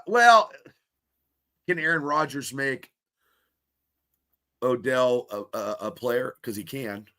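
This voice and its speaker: Excitable, stuffy-nosed sports podcaster man. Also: mid-range voice. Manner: excitable, rambling with many restarts and fillers. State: stuffy nose. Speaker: podcast co-host. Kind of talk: casual sports podcast chat.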